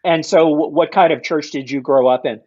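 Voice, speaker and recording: A man's voice: on the high side, fairly quick, darkish tone, no echo. A man hosting a church interview, easygoing, asking short questions about his guest. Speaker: host. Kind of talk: casual interview. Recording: dull, dry lo-fi audio.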